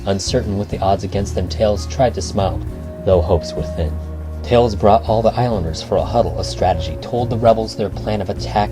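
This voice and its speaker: A man narrating a scripted, rhyming bedtime story in a dramatic, measured storybook manner. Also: fairly low pitch. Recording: music behind the voice.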